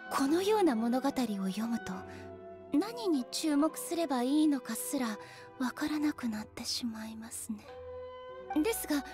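A dignified female ruler from a fantasy game. Her voice is high and composed, with a measured, formal politeness. She sounds earnest and a little naive, over soft background music. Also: animated. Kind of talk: Japanese game voice acting.

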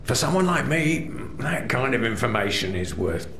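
An actor playing a shady, mocking crime boss in a video game, smug and conspiratorial. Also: male voice, mid-range voice, very animated, normal speed, fairly bright tone, roomy sound, a little hiss or hum. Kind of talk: video game character acting.

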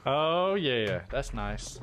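A man's voice: mid-range voice, big pitch swings, drawn-out words, a bit bright. A man streaming a video game, chatting casually in a jokey, folksy, exclaiming way. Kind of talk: casual gaming chat. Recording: game gunfire and vehicle noise behind. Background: video game sound effects.

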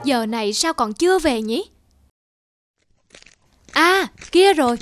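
A woman's voice: high-pitched, lively intonation, slow, soft background music. A woman voicing a scolding, exasperated peasant wife in a folktale cartoon, nagging and sarcastic. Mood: worried, nagging.

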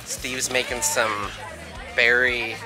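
A man's voice: medium pitch, flat delivery, slow, crowd noise. A man chatting casually as he films outdoors at a campsite with a handheld camera. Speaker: vlogger filming. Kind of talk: casual vlog chat. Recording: camera audio under loud music.

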